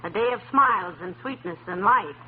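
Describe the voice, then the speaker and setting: A woman playing a patient, sensible wife, gently chiding, in a 1940s radio comedy style. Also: mid-range voice, lively intonation, brisk, close to an everyday voice. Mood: soothing, chiding. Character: patient, sensible wife soothing her blustery husband. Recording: tinny, noisy old radio broadcast.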